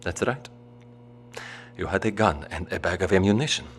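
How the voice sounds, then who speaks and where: An actor playing a gentle, devout father and wary survivor in an audio drama, speaking in a measured, formal, polite way. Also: low voice, slight background noise, natural voice. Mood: guarded, solemn.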